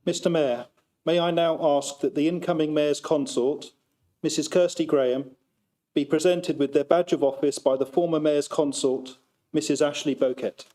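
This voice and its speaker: A man's voice: fairly high pitch, fairly even intonation, moderate pace, dark tone. A man conducting a council ceremony, making polite, formal procedural announcements. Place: council chamber.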